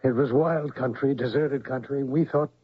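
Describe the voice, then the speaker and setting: Actor doing anxious stepdad, really raspy, halting, pleading, dull old radio sound. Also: male voice, medium pitch, steady tone, natural voice. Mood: halting, confessing. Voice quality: husky. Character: anxious, pleading middle-aged stepfather, gentle and haunted. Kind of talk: radio drama acting. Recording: muffled old radio broadcast.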